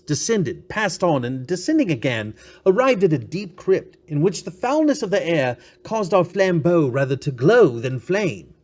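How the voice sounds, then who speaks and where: A man's voice: on the high side, darkish tone. A man narrating a classic short story in a dramatic literary style. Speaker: story reader.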